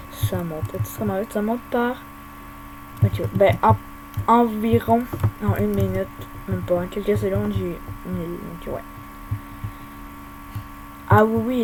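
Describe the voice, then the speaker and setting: A young woman giving casual, hesitant gameplay commentary at her home computer, full of pauses and filler sounds. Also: mid-range voice. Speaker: gaming YouTuber. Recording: hum and whirring computer fan noise.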